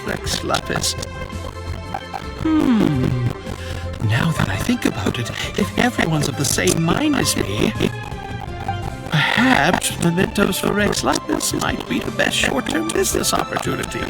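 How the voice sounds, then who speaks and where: A man's voice: high voice, huge pitch swings, a little slow, vocal fry, exaggerated voice, poor audio. An actor playing a brooding townsman in a fantasy video game, musing aloud to himself. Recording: loud game music behind the voice.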